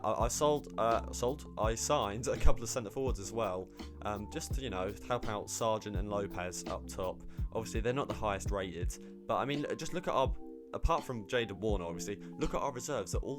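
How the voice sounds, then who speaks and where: A man, slightly hoarse, rambling casually about his football game squad with lots of ums and restarts. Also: fairly low pitch, brisk, music in the background. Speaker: gaming YouTuber.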